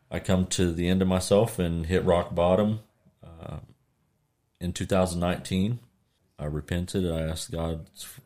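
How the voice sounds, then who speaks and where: A middle-aged man from Kansas tells his life story as a guest on a radio show. His voice is very deep, and he speaks quietly and haltingly, with ums between phrases. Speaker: guest sharing his testimony. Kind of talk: personal testimony on radio.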